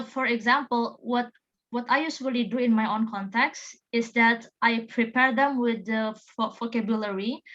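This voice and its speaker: A woman's voice: on the high side, lo-fi sound. A woman, an English teacher whose first language is Indonesian, joining the panel discussion with a friendly, agreeing manner and frequent backchannels.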